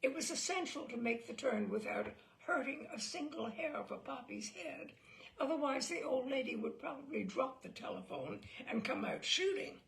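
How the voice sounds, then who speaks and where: A woman reads a story aloud with theatrical relish. Her voice is fairly high and heavily creaky, swooping in pitch. She takes her time, leaving deliberate pauses and leaning on key phrases. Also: animated. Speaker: reader of a story. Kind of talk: dramatic storytelling read aloud.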